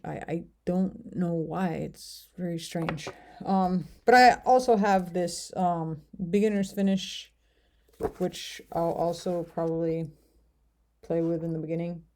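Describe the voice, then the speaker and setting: A woman's voice: lowish voice, dark tone. An American woman vlogging casually, talking in a rambling, hesitant way with many fillers. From United States. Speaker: language learner vlogger. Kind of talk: casual talk to camera.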